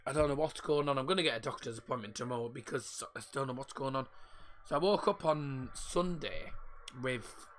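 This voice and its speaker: A man, voice croaky from a sore throat, giving casual live football commentary and rambling chat on his own stream. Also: mid-range voice, brisk. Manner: casual, rambling, mixes up names.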